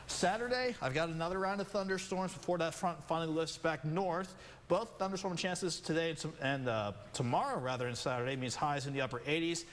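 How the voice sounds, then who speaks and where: A man with a slightly high voice delivers a broadcast weather forecast in a conversational, ad-libbed way. The sound carries a long, hall-like reverb. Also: a little fast, a bit bright, slight background noise. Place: television studio.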